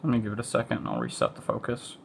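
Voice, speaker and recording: A man's voice: on the low side, big pitch swings, rapid-fire, gravelly, slight background noise. A man hosting an amateur livestream in a casual, informal way, thinking aloud as he goes. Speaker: amateur storm-watch livestream host. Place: a room at home.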